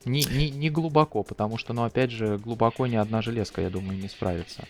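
A man's voice: lowish voice, fast talker, faint music behind. A man speaking casually as a panelist in a technical discussion.

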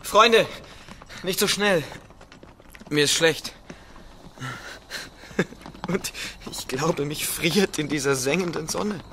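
An actor playing a weary, lovelorn traveller in a radio drama, hesitant and frail. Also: male voice, a little high, takes it slowly, long pauses, bright, forceful tone, a little hiss or hum, heavily acted. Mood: sick, pleading.

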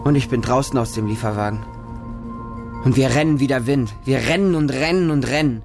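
A man's voice: medium pitch, brisk, gravelly, natural voice. Man acting a homeless child among dogs, over music. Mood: breathless, anxious. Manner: short, simple, childlike sentences. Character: homeless street child living with dogs, frightened, devoted. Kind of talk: first-person radio drama monologue. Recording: music under the voice.

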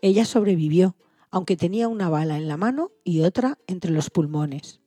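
A woman's voice: on the low side, animated, moderate pace, mellow, no room sound, clean studio sound, faint music behind. A woman narrating a scripted children's story. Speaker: co-host of an animal-stories programme for all ages.

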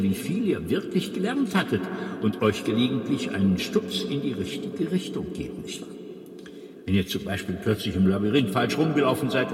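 An actor playing a chatty, exasperated alien planet builder in a radio play, with a confiding, rambling, emphatic manner. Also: male voice, medium pitch, lightly acted. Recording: echoey hall, slightly distant.

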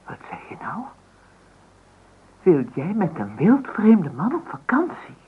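Husky, scolding middle-aged mother. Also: medium pitch, big pitch swings, takes it slowly, exaggerated voice. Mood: outraged, scolding. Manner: scolding, exclamatory. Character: scornful, worried, class-conscious middle-aged mother. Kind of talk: Dutch radio play acting. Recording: tinny old radio recording.

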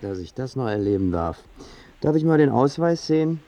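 A man's voice: medium pitch, big pitch swings, normal speed, mellow, dry recording. An actor playing a gleeful, mocking policeman in a German radio play. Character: mocking, gleeful male policeman making an arrest.

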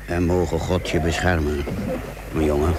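A man playing a stern but loving father in a Dutch radio play, gruff and solemn as he admonishes. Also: very deep voice, flat delivery, moderate pace. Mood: solemn, tender. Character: stern but loving father sending his son to sea. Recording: noisy old radio play recording.

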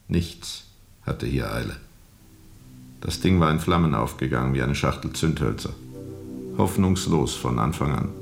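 Weathered sea captain, rock-bottom pitch, fry in the voice, grave, deliberate, brooding radio-drama storyteller. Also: male voice, full character voice. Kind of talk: radio drama narration, recounting under questioning.

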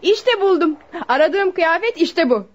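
A woman's voice: very high-pitched, lively intonation, unhurried. A woman playing a vain, scheming young woman in a radio drama, flippant and haughty. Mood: pleased. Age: young adult. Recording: dull lo-fi radio recording.

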